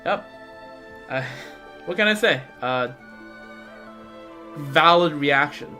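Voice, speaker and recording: A man doing casual live game commentary as a streamer, rambling and halting as he repeats words. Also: on the high side, expressive, sharp tone. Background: game music.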